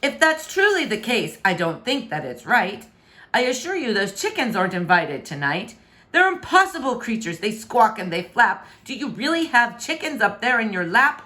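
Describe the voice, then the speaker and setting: A pompous, fussy storybook king played by a woman, theatrical and quick to scold. The pitch leaps up and down with every emphatic phrase, and the tone is bright and forceful. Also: big pitch swings. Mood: suspicious. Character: pompous, fussy, indignant middle-aged king and father. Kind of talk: expressive children's storybook read-aloud.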